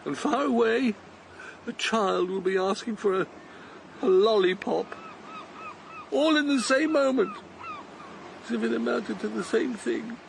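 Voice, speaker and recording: An actor playing a gentle elderly man, humble and bewildered, in a radio drama, speaking in a hesitant, tender, self-deprecating way. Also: very high voice, unhurried, gravelly, pauses often, slight background noise, heavily acted, poor audio. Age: older. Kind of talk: BBC radio drama acting.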